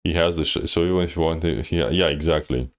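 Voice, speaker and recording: A man's voice: rumbling low, fast talker. A man coaching on a live stream, reviewing gameplay bluntly with lots of fillers. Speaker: game coach.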